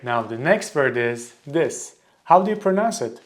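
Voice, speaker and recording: A man with a Turkish accent, a Turkish teacher giving a language lesson, speaking clearly and deliberately and slowing down to model words. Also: medium pitch, very animated, slight background noise.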